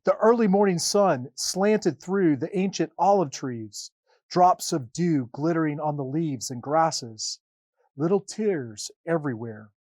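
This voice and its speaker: Man, children's storybook reading, highly animated pitch, dramatic pauses, slow delivery. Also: no room sound. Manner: dramatic, deliberate phrasing with pauses.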